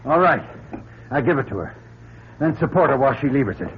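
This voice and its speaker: A muffled radio drama recording with a slight hum carries a man acting as a determined leader. His voice moves widely in pitch as he gives urgent, step-by-step orders to trapped companions. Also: very animated, fairly quick, natural voice. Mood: urgent, commanding. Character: resolute man leading trapped companions through dark escape ducts.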